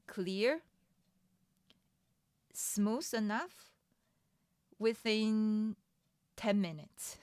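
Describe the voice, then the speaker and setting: A woman's voice: medium pitch, smooth, no room sound, clean studio sound. A woman whose first language is Mandarin, chatting candidly in English about her own experience, often restarting phrases. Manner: unhurried and candid, with frequent restarts and light laughs. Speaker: streamer sharing her startup funding experience. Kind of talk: casual chat.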